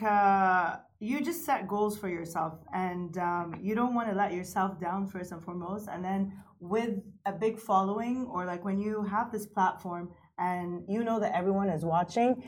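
A woman's voice: medium pitch, mellow. A woman in her thirties from Kuwait, a mountaineer guest talking casually in an interview, full of "like" and "you know". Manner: chatty, fillers like "like" and "you know".

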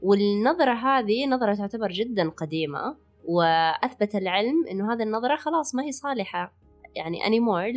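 A woman with a Hijazi Saudi accent co-hosting a health podcast, explaining things conversationally and sprinkling in English words. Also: on the high side. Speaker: podcast co-host, holistic physician and wellness coach.

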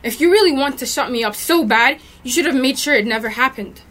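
High-voiced young woman, earnest. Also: expressive, fairly quick, a bit bright. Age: young adult. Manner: earnest, firm. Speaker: podcast guest, author. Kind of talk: casual chat.

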